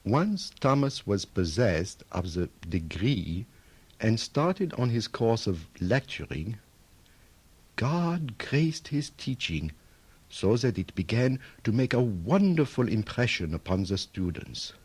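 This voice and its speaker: A medieval chronicler declaims with reverence and admiration. His husky voice moves slowly, rising and falling widely. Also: on the low side, very animated, darkish tone, exaggerated voice. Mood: reverent, admiring. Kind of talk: dramatized reading of a historical account.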